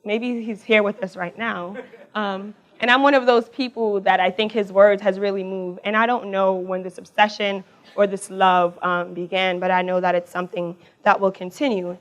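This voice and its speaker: Young woman with a raspy voice. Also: medium pitch, flat delivery. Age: young adult. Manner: talks fast, warm and chatty, laughs between thoughts. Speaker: alumna speaker. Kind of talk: personal talk to a live audience. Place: event hall with audience. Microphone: handheld or stage mic.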